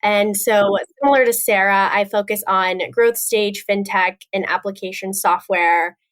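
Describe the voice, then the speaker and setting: A young woman who grew up in Texas, with a mid-range voice. She answers interview questions as a podcast guest in an orderly, clear way. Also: mid-range voice, fairly even intonation, unhurried.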